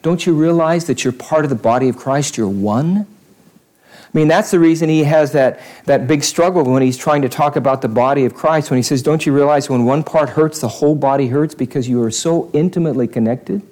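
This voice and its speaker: A man's voice: on the high side, brisk, a little mellow. A male pastor giving a conversational sermon in church, repeating phrases for emphasis.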